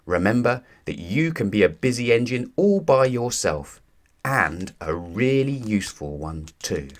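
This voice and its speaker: Man acting a stern storybook railway controller, middle-aged male, authoritative, firm, animated pitch. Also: measured pace. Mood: firm, approving.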